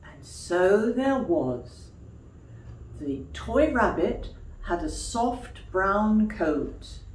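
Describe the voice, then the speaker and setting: A woman storyteller reading expressively to young children like a teacher, pausing for questions and explanations. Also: mid-range voice, warm tone. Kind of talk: children's storytime read-aloud with explanatory asides. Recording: echoey room.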